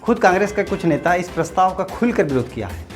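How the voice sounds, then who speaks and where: A woman with a fairly low voice narrates a news explainer. She reads from a script, and music plays clearly behind her. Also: sing-song, fast. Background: background music.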